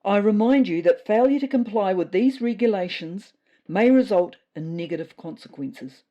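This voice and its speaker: A woman's voice: mid-range voice, animated, normal speed, muffled recording, voice pushed up, lightly acted. A woman with a New Zealand accent voicing a bossy female cat who lays down rules in a pompous, commanding manner. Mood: officious, stern.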